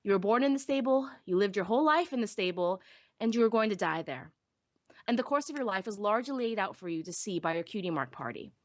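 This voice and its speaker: A woman playing a shy, self-deprecating young pony technician who narrates her own story in an expressive, wistful, wry way. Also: a little high, dry recording, studio quality, natural voice. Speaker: first-person narrator. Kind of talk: dramatic live audiobook reading.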